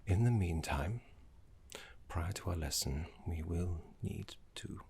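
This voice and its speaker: Guy doing a genteel necromancy professor for asmr roleplay, slow n creaky, breathy, formal, kinda fussy. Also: sits low, exaggerated voice. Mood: soothing, encouraging. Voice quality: soft, breathy whisper. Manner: genteel, formal, measured, with dramatic pauses.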